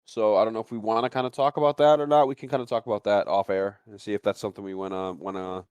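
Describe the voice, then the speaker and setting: Low-voiced man rambling on a podcast. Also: little pitch movement, fast, darkish tone, clean tone, dry recording. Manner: rambling, repeats phrases. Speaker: podcast host. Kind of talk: casual podcast chat.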